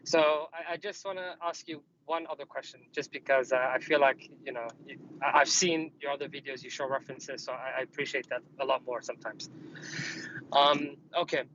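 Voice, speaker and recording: A man's voice: mid-range voice, steady tone, fairly quick, a bit bright. Male caller, hesitant and polite, muffled line with faint hiss. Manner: hesitant, polite, many fillers. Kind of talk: phone-in conversation.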